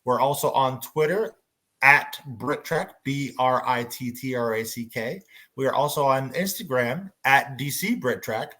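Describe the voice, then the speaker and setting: A man hosts a relaxed fan discussion, addressing listeners and fellow panelists. His voice sits at an average pitch, and his phrasing comes in hesitant, halting pieces. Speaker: panel host. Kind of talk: informal fan panel moderation.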